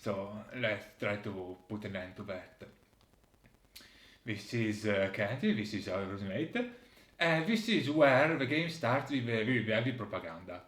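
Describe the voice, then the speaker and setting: A man giving video game commentary in a halting, hesitant way with searching pauses. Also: on the low side, animated, fairly bright tone, roomy sound. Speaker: host presenting a game critique. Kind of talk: video game commentary, reading dialogue aloud.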